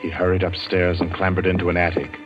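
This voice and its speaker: Low-pitched man narrating vintage radio suspense. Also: completely flat delivery. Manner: dramatic, ominous, measured with suspenseful pauses. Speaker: announcer and narrator. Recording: muffled vintage radio broadcast. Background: loud dramatic orchestral music.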